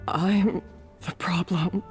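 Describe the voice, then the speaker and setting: Self-blaming character, hesitant, hushed, slow, game dialogue, soft music. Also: female voice, on the low side, fairly even intonation, slightly rough, heavily acted. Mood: remorseful. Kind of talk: video game voice acting.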